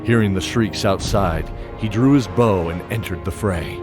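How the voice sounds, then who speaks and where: A man giving dramatic scripted narration of a fantasy tale, measured, pausing between short phrases. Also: deep voice. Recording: loud music under the voice.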